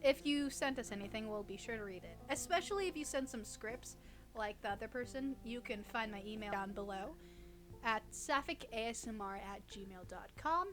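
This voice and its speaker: A woman's voice: on the high side, expressive, normal speed, music in the background. A young woman co-hosting a casual chat, reading creepy stories aloud in a giggly, teasing, irreverent way. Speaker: co-host reading listener stories.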